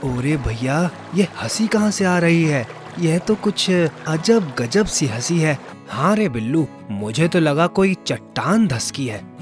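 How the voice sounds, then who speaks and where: A man playing excitable, wary village boys in a children's folktale, exclaiming and questioning. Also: fairly high pitch, slow pace, faint music behind. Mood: startled curiosity.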